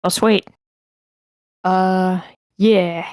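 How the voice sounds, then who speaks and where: A woman's voice: lowish voice, fairly even intonation, very slow, darkish tone, clean tone, dry recording, studio quality. A woman hosting an online script table read in a casual, chatty livestream style. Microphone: close mic with a sock over it, online voice call.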